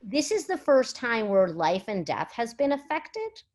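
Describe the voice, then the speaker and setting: A woman's voice: a little high, sing-song, measured pace, darkish tone. A middle-aged woman speaking as a physician at a virtual summit, explaining in an articulate, conversational way with emphasis and rhetorical questions.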